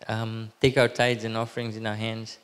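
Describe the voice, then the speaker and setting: A man's voice: on the low side, monotone, moderate pace, fairly bright tone, smooth. A man in his thirties leading a church service, making announcements and praying aloud at a pulpit, with many fillers and restarts. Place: church hall, speaking at a pulpit. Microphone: handheld microphone. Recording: long hall reverb.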